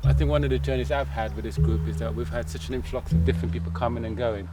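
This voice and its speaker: A man's voice: medium pitch, steady tone, quick pace, very noisy. A man giving a casual interview about his own experience, chatty and emphatic. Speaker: arts group participant being interviewed.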